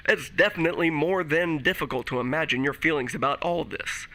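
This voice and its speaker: An actor playing a slick, indignant talk radio host in an audio drama, brash and emphatic. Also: male voice, on the high side, brisk, pushed and bright, slight background noise. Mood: disgusted.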